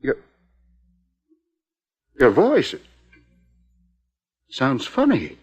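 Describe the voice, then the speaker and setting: An actor playing an earnest, emphatic husband in a 1950s radio suspense drama, with deliberate phrasing. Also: male voice, mid-range voice, wildly swooping pitch, a little mellow, breathy edge, big-room sound, full character voice. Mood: uneasy. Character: earnest, fair-minded husband presiding over his wife's fate. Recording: dull muffled 1950s radio recording.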